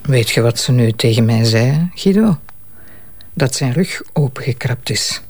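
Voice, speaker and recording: An actress playing the exasperated, ashamed wife of a drunken police commissioner in a Flemish radio drama. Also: female voice, very deep voice, animated, measured pace, darkish tone, heavily acted. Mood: worried, ashamed. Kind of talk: naturalistic radio drama acting.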